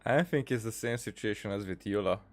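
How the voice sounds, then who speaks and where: A man giving casual, low-key game commentary in short, hesitant asides. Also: fairly quick. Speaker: gamer reacting to a playthrough. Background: game music.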